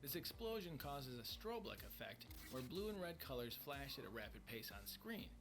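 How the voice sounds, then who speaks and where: A man reading a scripted countdown of cartoon facts in an even, read-aloud manner. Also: medium pitch, moderate pace. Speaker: list video narrator. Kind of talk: scripted narration. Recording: music bed under the voice. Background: background music.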